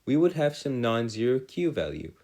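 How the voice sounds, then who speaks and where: A man giving a step-by-step lesson as a tutor, in formal, wordy phrasing with halting pauses and self-corrections. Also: mid-range voice, very animated, dark tone. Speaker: math and physics tutor. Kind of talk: explanatory lesson, worked step by step.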